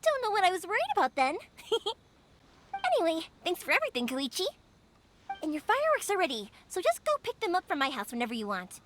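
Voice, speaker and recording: A woman voicing a cheerful young female fireworks maker in a video game, chatty and quick to laugh. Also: extremely high pitch, big pitch swings, fairly bright tone, full character voice. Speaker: game character. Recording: game audio with music behind.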